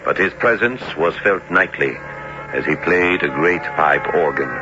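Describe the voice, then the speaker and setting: An actor playing a learned, sober doctor and professor who recounts a sea voyage in formal, measured radio drama style. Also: male voice, low-pitched, expressive, music in the background. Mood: solemn storytelling. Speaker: narrator and character. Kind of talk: dramatic radio narration and acting. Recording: noisy, dull old radio drama recording.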